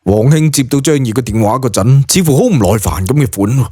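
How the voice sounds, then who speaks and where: A man's voice: mid-range voice, expressive, brisk, dark tone, slightly rough, no echo, clean studio sound. A man with a Hong Kong Cantonese accent narrating a serialised novel in a dramatised audiobook style.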